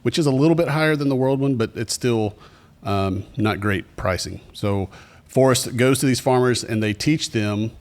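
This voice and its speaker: A man's voice: medium pitch, moderate pace. A man from Tennessee with a Southern US accent, speaking as a podcast host in a conversational, explanatory way to a live audience. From Tennessee, USA. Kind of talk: informal presentation to a live audience. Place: cafe, live event.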